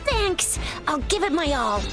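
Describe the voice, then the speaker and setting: A woman's voice: high voice, big pitch swings, a little slow, music in the background, heavily acted. A woman voicing an eager, loyal young female city patroller in a video game, friendly and earnest in delivery.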